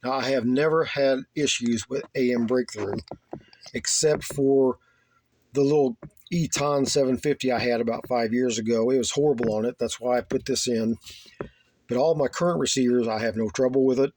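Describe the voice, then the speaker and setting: A man giving a casual, unscripted tour of his hobby setup, plainspoken and halting, often repeating himself. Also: mid-range voice, steady tone, darkish tone, a little hiss or hum. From United States. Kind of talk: casual unscripted show-and-tell. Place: small home radio room.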